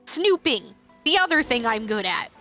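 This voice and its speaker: Bright, wry woman streaming a game. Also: fairly high pitch, very animated, normal speed. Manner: wry, playful, sarcastic. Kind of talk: casual live game stream commentary. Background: game music.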